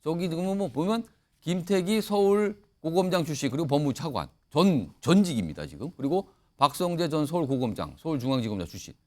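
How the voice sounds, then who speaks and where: A man hosting a news panel show in a lively, conversational anchoring style. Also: fairly high pitch, fairly quick.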